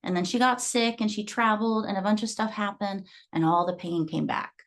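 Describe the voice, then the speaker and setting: A woman from Guatemala, about thirty-something, speaks as a fitness coach in an earnest storytelling way. Her voice sits at an everyday middle pitch for a woman. She moves from one client story to the next in a motivational talk. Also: a little fast. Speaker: fitness coach and gym owner.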